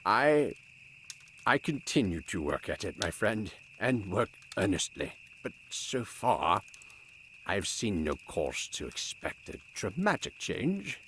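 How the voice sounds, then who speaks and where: A man playing a gentle, devout old healer and priest in an audio drama, speaking earnestly in measured, old-fashioned formal phrases. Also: on the low side, very animated, slight background noise, exaggerated voice. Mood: calm, steadfast.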